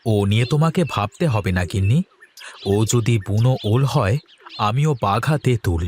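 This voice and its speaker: Man acting an animated, confident village husband. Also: lowish voice, normal speed, a little hiss or hum, natural voice. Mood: reassuring. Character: confident village husband, protective farmer and shopkeeper, easygoing. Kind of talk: Bengali cartoon folktale dialogue.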